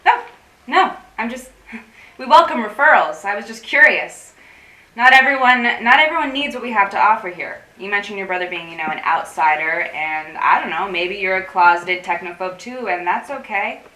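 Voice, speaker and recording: An actress in a staged reading playing an anxious, wry, guarded support group organiser, rambling and awkwardly correcting herself. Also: female voice, medium pitch, normal speed, pushed and bright, natural voice. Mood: guarded, prying. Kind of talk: staged play reading, naturalistic dialogue. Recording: roomy, distant mic with faint noise.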